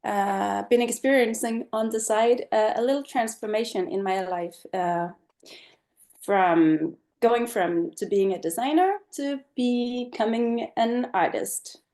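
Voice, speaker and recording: Slow-speaking Danish woman presenting. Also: a little high, dark tone. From Denmark. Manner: slow, thoughtful, many fillers. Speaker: guest speaker. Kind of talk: online presentation talk. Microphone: video call.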